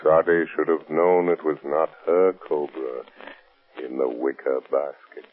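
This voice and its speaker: A man acting in a 1930s radio drama as a calm, menacing unseen avenger who mocks criminals with slow, deliberate menace. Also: extremely low pitch. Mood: grim triumph. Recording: tinny 1930s radio recording.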